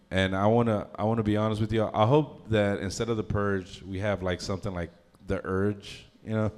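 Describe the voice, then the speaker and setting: Low-voiced man doing crude stand-up. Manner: crude, rambling, laughs at his own jokes; throws in lots of fillers like uh and um. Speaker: stand-up comedian. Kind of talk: stand-up comedy set.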